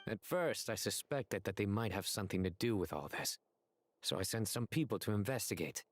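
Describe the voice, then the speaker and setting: A man voicing a stern, decisive investigator in a video game, serious and measured. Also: fairly low pitch, animated, dry recording, soft background music. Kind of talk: video game voice acting, dramatic dialogue.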